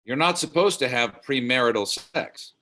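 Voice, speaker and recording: A man's voice: mid-range voice, moderate pace, bright, forceful tone, lightly acted. A man acting a stubborn, moralizing older father at a comedy table read, prudish and insistent. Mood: disapproving.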